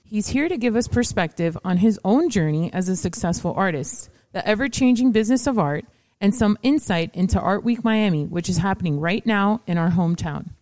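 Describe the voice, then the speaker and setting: Mellow woman reading a guest intro. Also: medium pitch, animated, dry recording. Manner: measured, scripted reading. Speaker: co-host.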